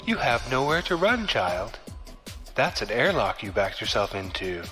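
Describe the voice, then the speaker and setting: An actor playing a fanatical male cultist in battle armor, speaking calmly and condescendingly. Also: mid-range voice. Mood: menacing calm. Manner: calm, slow, condescending menace. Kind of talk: audio drama acting. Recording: music score underneath.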